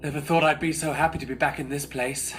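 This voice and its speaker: A man playing a casual, questioning teenage mutant in an audio drama, with a husky voice. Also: a little high, steady tone, fast talker, a bit bright, soft background music, natural voice.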